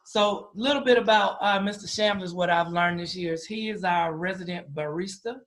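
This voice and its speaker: Male interview host, notably high voice, familiar and teasing, informal chat. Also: a little fast, fairly bright tone. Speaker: host of a school podcast.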